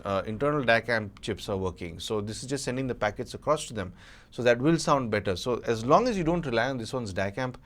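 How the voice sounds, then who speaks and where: A man giving a casual, unscripted product review as an audio gadget reviewer, conversational and hedging with colloquial asides. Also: mid-range voice.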